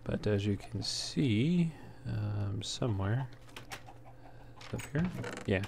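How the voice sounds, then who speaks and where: A man giving casual gameplay commentary in a halting way, as if thinking aloud while he plays. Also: lowish voice, animated. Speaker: let's player, host. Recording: clear background noise.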